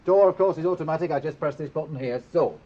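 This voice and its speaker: An actor playing an earnest, proud, eccentric inventor with a Northern English accent and clipped phrasing, in a radio drama. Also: male voice, a little high, fast talker, lightly acted. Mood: proud, precise. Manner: zealous, clipped, drops articles. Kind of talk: British radio drama acting. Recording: dull, noisy old radio drama recording.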